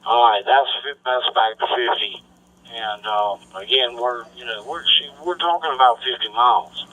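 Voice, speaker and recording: A radio transmission carries the voice of a man at an average male pitch. He talks casually, like a hobby operator chatting with another on the air. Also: moderate pace, bright, forceful tone, poor audio. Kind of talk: casual two-way radio chat.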